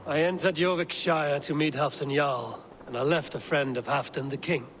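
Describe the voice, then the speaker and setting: An actor playing a fearless Viking raider and clan leader, speaking in a steady, formal, measured way in a game cutscene. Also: male voice, a little high, big-room sound, slight background noise, lightly acted. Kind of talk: video game cutscene acting.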